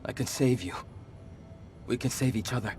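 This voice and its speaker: An actor playing an ancient monster leader who was once human, speaking gravely, with measured, earnest persuasion in a video game cutscene. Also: male voice, mid-range voice, mellow, natural voice. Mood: urgent, pleading. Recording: background music under the voice.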